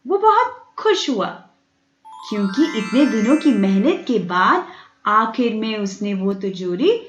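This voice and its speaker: A woman narrating a scripted children's bedtime story in an expressive, measured way. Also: mid-range voice. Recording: roomy, dulled audio with background music. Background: music.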